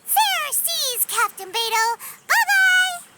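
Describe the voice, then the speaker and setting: A woman voicing a tiny, excitable fairy companion in a video game, with a squeaky, cutesy, childlike and exclamatory delivery. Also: slow pace, a little hiss or hum, heavily acted. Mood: cheerful. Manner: squeaky, cutesy, exclamatory, speaks of herself by name.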